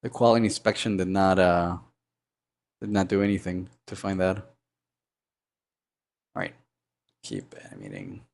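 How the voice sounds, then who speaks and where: Male streamer, gravelly low baritone, sore throat, rambling thinking-aloud chat while animating. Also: lively intonation, normal speed, long pauses, a little mellow. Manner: rambling, thinks aloud, hums and mutters sound effects. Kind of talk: casual live-stream chat while working.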